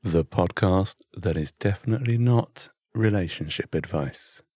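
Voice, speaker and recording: A man's voice: sits low, a little slow, a little mellow, dry recording, clean studio sound. A British man co-hosting a podcast in casual banter, bemused and rambling as he searches for words. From United Kingdom. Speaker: podcast co-host.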